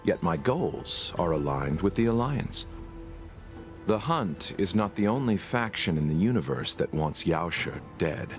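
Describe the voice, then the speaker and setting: A composed, calculating video game character. His low voice moves expressively through formal, measured speeches, always courteous and deliberate. Music plays softly underneath. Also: exaggerated voice. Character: composed, scheming man, courteous and eloquent.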